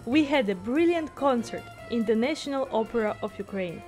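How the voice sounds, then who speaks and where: A woman's voice: fairly high pitch, expressive, normal speed, over loud music. A woman working as a vlogger, narrating from a script and chatting with musicians as an interviewer.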